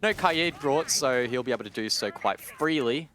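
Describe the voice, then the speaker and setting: A man's voice: mid-range voice, lively intonation, moderate pace, background noise. A man giving analytical live commentary on an esports match as a caster.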